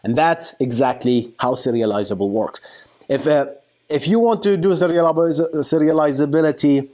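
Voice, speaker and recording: A man giving an informal software tutorial, talking through a live demo in a playful, colloquial way and thinking aloud. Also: fairly high pitch, moderate pace. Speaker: software engineering instructor.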